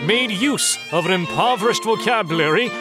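A man's voice: sits high, lively intonation, measured pace, music in the background. A man giving scripted narration, solemnly reading out numbered points as a narrator.

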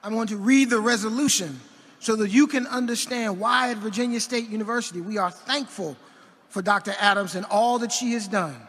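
High-voiced man reading in an echoing arena. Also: fairly bright tone, slight background noise. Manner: measured formal reading with occasional slips. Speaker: university official presenting an honorary degree. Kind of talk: formal ceremonial reading of a resolution. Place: large indoor arena at a commencement ceremony. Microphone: podium gooseneck microphone. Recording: long reverb of a big arena.

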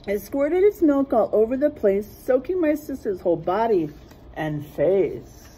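A middle-aged woman reading a rhyming picture book aloud in a teacherly way, pausing to point out word pairs. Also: on the high side, big pitch swings, mellow, slightly rough, low-quality recording. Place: small classroom, indoors.

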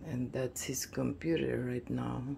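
Casting: woman vlogger, slow hesitant home-tour talk, gravelly low voice, phone mic in a basement. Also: fairly even intonation, mellow, a little hiss or hum. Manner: hesitant, many restarts and fillers. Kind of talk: casual home tour narration. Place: finished house basement, indoors.

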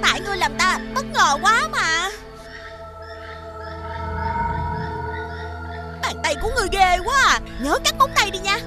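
A woman voicing a greedy, haughty village woman in an animated fairy tale, pushy and scornful. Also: very high-pitched, quick pace. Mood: flustered. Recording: loud music behind the voice.

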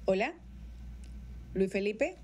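A woman's voice: mid-range voice, lively intonation, takes it slowly, mellow, a little hiss or hum. A middle-aged woman conducting a broadcast news interview on a studio mic. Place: radio studio. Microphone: studio mic on boom arm, headphones.

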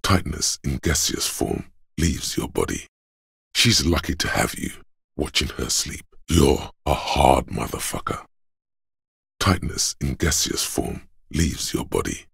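A man voicing a grim, stoic inner voice of endurance for a game, in a solemn, portentous manner with a husky, gravelly quality. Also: rumbling low, sing-song, a little slow, a bit bright, no room sound, studio quality, exaggerated voice. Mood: solemn, resolute. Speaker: voice actor. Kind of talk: dramatic game narration, second person.

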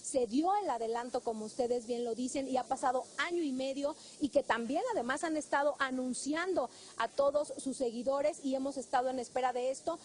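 A woman's voice: sits high, brisk, a little mellow. A woman with a Mexican accent giving a live field report as a TV reporter. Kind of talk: live news report, semi-scripted. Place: outside a government building on a city street. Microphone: handheld reporter microphone. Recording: outdoor street noise behind.

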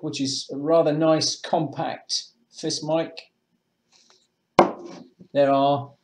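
A man presenting marine electronics in a measured, matter-of-fact way, as in a semi-scripted product demonstration. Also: fairly high pitch, slight background noise, rough recording. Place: indoors, small room with block walls at a table.

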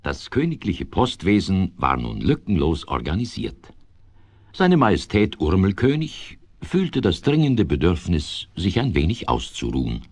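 A man narrating a children's radio play like a storybook, with measured, dryly amused formality. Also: low-pitched, huge pitch swings, no room sound, heavily acted.

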